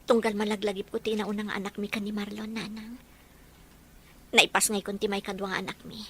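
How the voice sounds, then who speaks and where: A woman playing a young Ilocano mother carrying grief in a family audio drama, earnest and soft-hearted. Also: mid-range voice, little pitch movement, fairly quick, a little hiss or hum. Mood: sad, longing.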